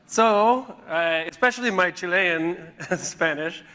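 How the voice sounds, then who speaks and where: A man from the United States giving a conference talk in short chunks, pausing for an interpreter. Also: high-pitched, bright, forceful tone, poor audio. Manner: speaks in short chunks and waits for the translation. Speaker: conference speaker. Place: conference hall stage.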